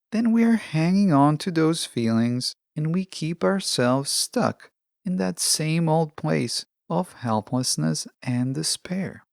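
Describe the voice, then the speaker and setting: A podcast host delivering a scripted self-help monologue in a measured, instructional way with deliberate phrasing. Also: medium pitch, creaky, no room sound, clean studio sound.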